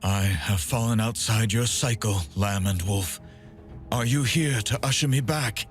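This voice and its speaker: A man voicing a grim, solemn undead swordsman from a video game, speaking in a measured, dramatic way over music. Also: on the low side, close to an everyday voice. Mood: cold disdain. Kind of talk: video game character voice acting.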